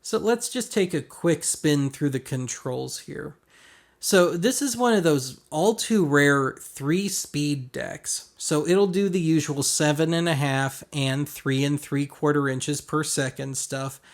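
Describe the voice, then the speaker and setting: A man's voice: a little high, slow. A man hosting a casual gear walkthrough, explaining things colloquially with wry, self-deprecating asides. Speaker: host of a vintage audio gear review show.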